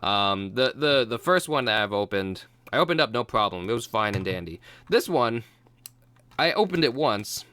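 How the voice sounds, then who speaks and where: A man's voice: mid-range voice, lively intonation, normal speed, bright, forceful tone, no echo. A young man with a cold, sounding nasal and congested, rambling casually through a product unboxing with many ums and restarts. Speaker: YouTube reviewer.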